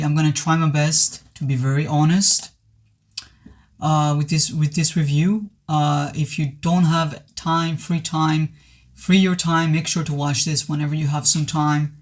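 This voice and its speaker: Male reviewer, pitch a bit high, voice worn from sickness, sincere and stop-start. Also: little pitch movement, unhurried. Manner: hesitant, many restarts, earnest. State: voice worn from recent illness. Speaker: movie reviewer. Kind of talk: casual spoken film review.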